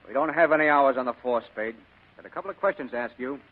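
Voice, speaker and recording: An actor playing a brusque, suspicious police lieutenant in a 1940s radio drama, curt and pushy. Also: male voice, mid-range voice, fast talker, natural voice. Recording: noisy, muffled vintage radio broadcast.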